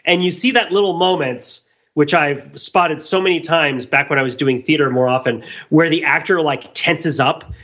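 Male podcast co-host, bright forceful voice, rambling enthusiastic explainer, slightly dull recording. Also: on the high side, moderate pace, hardly any pauses. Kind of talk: casual analytical discussion.

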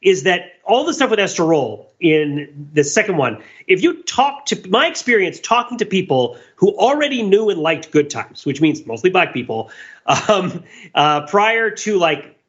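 A man's voice: sits high, fairly bright tone, lo-fi sound. A man talking through ideas on a podcast in a casual, analytical way, digressive and emphatic. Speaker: podcast host.

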